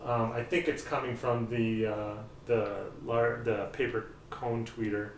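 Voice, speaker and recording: A man's voice: medium pitch, flat delivery, a little slow, slight background noise. A middle-aged man casually reviewing audio gear, thinking aloud with many ums and uhs, seated in a small room with speakers. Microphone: far camera on a tripod. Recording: roomy sound from a distant mic.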